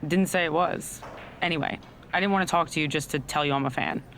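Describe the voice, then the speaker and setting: A woman's voice: deep voice, fast, fairly bright tone, a little hiss or hum. An actress playing a scheming, self-serving female wrestler in a video game cutscene, talking in a casual, conspiratorial way. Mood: sly, persuasive.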